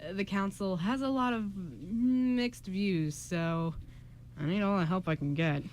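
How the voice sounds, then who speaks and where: A woman's voice: medium pitch, unhurried, darkish tone, close to an everyday voice. Woman as hesitant, friendly male time traveler, noisy recording. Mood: somber, explaining. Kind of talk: amateur radio play acting.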